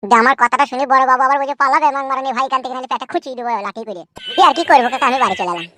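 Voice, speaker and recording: A woman's voice: high-pitched, rapid-fire, bright, forceful tone, dry recording. A woman voicing a cheeky, aggrieved young village lad in a comic cartoon, chattering bluntly. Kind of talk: comic cartoon dialogue in rural dialect.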